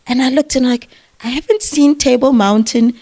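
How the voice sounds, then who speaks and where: A woman's voice: high voice, moderate pace. A woman from Cape Town with a South African accent, a novelist chatting as an interview guest, telling stories and laughing often. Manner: warm, laughs often, storytelling. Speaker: author guest. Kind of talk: conversational interview.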